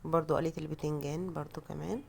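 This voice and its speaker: A woman's voice: low voice, steady tone, racing, creaky, a little hiss or hum. A woman with an Egyptian Arabic accent gives a casual, chatty, self-deprecating recipe voice-over as a home cook, her voice altered by a cold. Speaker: home cooking vlogger.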